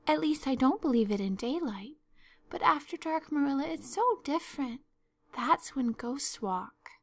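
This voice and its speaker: Woman acting young girl, high voice, effusive, theatrical, pleading, earnest storybook chatterbox. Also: expressive, a little slow. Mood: nervous. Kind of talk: storybook narration.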